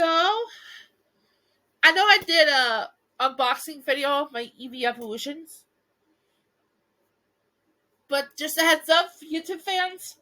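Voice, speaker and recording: A woman reading a bedtime story aloud and chatting casually to viewers, with a playful, giggly, expressive manner. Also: high voice, rough recording. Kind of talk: storybook read-aloud with casual asides to fans.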